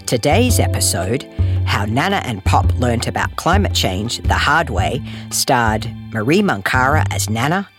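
A podcast host reading scripted credits and announcements in a friendly manner, addressing listeners directly. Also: medium pitch. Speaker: podcast host and series creator. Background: music.

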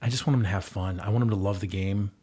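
Husky-voiced man, earnest and rambling. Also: lowish voice, fairly even intonation, fast talker, dark tone. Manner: earnest, rambling with many fillers and restarts. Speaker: guest and volunteer girls' basketball coach. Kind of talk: casual conversation.

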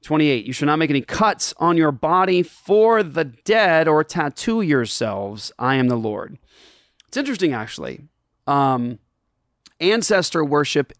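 A man's voice: a little high, dry recording, studio quality. A man who grew up in Japan, hosting and casually explaining a Bible passage to listeners, with frequent ums and restarts. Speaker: podcast host answering listener questions. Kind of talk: casual Bible teaching chat.

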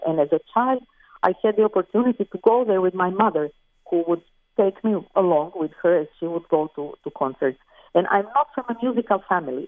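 A woman from Croatia with a Croatian accent, a musician talking thoughtfully and with pauses as an interview guest. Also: lowish voice, dry recording. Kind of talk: interview over the phone. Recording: tinny phone line, dry.

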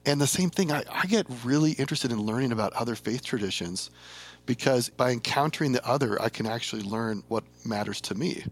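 A mid-pitched man from Minnesota chats over a video call and tells stories with easy laughs. His speech carries no regional accent. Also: expressive, a little fast, slightly rough. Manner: chatty storyteller, laughs often. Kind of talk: casual conversation.

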